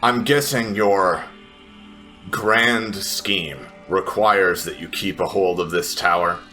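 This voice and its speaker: Tabletop game adventurer, a blunt, confrontational woman played by a man: low, tense, deliberate delivery. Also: bright, forceful tone, faint music behind, full character voice. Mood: firm, threatening.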